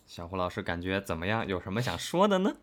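Lively, excitable male livestream host. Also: low-pitched, fast. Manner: excitable, repeats phrases. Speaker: voice actor co-hosting a livestream. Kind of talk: casual livestream chat.

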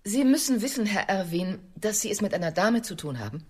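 A woman's voice: medium pitch, sing-song, fairly quick, husky. An actress playing a suspicious, protective woman in a radio drama, formal and stern. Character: suspicious, protective, prim woman checking on a friend.

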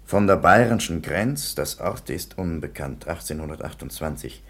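An actor playing a tired, matter-of-fact police commissioner in a radio play. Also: male voice, deep voice, expressive, moderate pace. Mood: stern.

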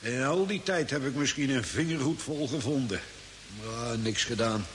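An actor playing an easygoing old desert hermit in a radio drama, folksy and hesitant in his unhurried talk. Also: medium pitch, close to an everyday voice. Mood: reminiscing. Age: older. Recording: hissy old radio recording with long reverb.

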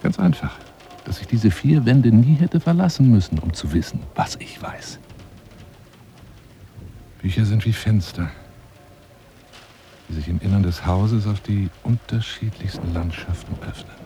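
A man's voice: on the low side, lively intonation, unhurried, pauses often, warm tone, slight background noise. An actor playing a lonely, self-important bookish man in a radio drama monologue, theatrical and rambling, often dropping to a near-whisper. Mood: proud, confiding.

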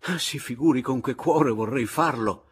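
A man voicing a servile, pleading male secretary in a story, evasive and ingratiating, with halting phrases. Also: lowish voice, lively intonation, breathy edge, heavily acted. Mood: humble pleading.

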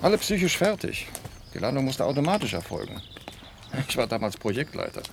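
Urbane male scientist, doubting and courteous, fry-edged and expressive, radio drama. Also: mid-range voice, brisk, slight background noise. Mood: sceptical. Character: sceptical, urbane male doctor, former project leader. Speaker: actor in a radio play. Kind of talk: German radio drama dialogue.

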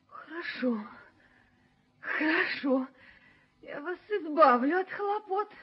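A very high, theatrical young woman's voice plays a proud, hot-tempered fiancée in a 1970s radio play. She is imperious and easily wounded. The recording is muffled and lo-fi. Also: exaggerated voice. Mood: hurt, accusing. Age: young adult. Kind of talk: Soviet radio play acting.

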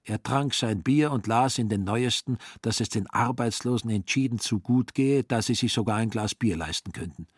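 A man narrating a literary radio drama in a scripted storytelling style, with a husky voice. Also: mid-range voice, moderate pace, few pauses, no echo, clean studio sound.

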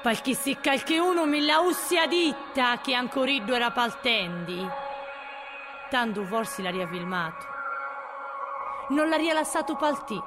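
Slow, grieving Sardinian mother. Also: sits high, expressive, over music. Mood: bitter. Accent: Gallurese, northern Sardinia. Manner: lamenting, drawn-out, emotive. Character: grieving mother and widow, bitter, bereft of husband and son. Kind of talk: slow dramatic lament in an audio drama.

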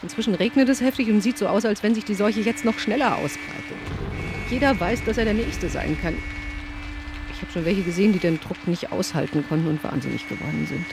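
A woman's voice: mid-range voice, a little fast, music in the background, lightly acted. A woman playing a fearful, despairing field reporter trapped in chaos, in a German radio play. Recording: noisy field report with vehicle ambience.

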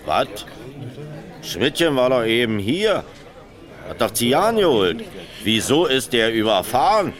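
Gruff pub landlord, swinging pitch. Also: male voice, very animated, slow pace, long pauses, some chatter behind. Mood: startled, flustered. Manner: grumbling, colloquial. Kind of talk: German radio crime play acting.

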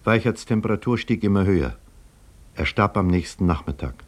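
A sober male scientist tells of a tragedy in a measured, explanatory way. His voice sits on the low side with a husky edge. It is 1950s radio drama narration, grave and deliberate. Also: expressive. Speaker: scientist and doctor narrating his own story.

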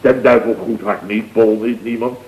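An actor playing a blustering, self-important middle-aged boss telling indignant, rambling stories in 1970s radio comedy style. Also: male voice, fairly low pitch, fairly even intonation, normal speed, natural voice. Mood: self-important, boastful. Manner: exclamatory, rambling, rhetorical questions. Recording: dull vintage radio recording.

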